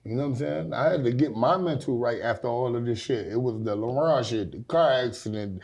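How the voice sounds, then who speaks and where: A man's voice: mid-range voice, a little fast, gravelly, darkish tone. A man in his forties, a talk show guest, telling his life story bluntly and candidly in a studio. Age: 40s. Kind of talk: casual talk show interview. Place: studio set.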